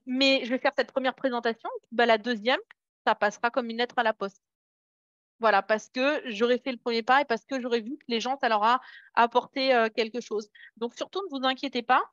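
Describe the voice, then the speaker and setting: A woman's voice: a little high, fairly bright tone, no room sound, dull audio. A woman leading a casual motivational training session for her sales team, encouraging and hesitant, with many fillers and restarts. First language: French. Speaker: network marketing team trainer.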